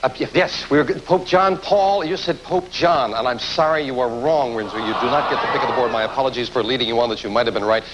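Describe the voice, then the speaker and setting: A man's voice: mid-range voice, fast talker, hardly any pauses, muffled recording. A man with an American accent hosting a television quiz show in a showy, announcing manner. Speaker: game show host. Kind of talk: game show hosting, ad-libbed banter and quiz questions. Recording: noisy old television broadcast, studio ambience.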